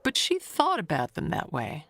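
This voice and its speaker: A woman's voice: sits low, huge pitch swings, normal speed, a bit bright, full character voice. A woman playing a chatty older neighbor, rambling and confiding in an audio mystery tale, coy and wistful. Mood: wistful, musing.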